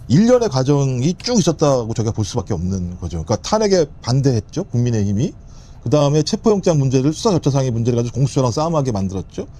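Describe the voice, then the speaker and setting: A man giving political commentary as a panel guest, listing points rapidly and emphatically. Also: a little high, mellow.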